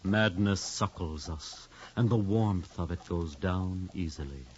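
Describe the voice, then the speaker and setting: A dull 1950s radio recording carries a deep-voiced conspirator. He speaks slowly and theatrically, domineering and poetic, like a fanatic certain of his cause. Light noise sits under the voice. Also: darkish tone. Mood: grandiose, coaxing. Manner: grandiose, slow, deliberate, domineering. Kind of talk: 1950s radio drama acting.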